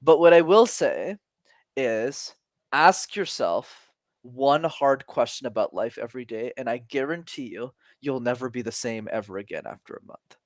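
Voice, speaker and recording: A man in his twenties, a communication coach talking as a podcast guest, energetic and emphatic, casual with some swearing. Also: medium pitch, normal speed, no echo, clean studio sound. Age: 20s.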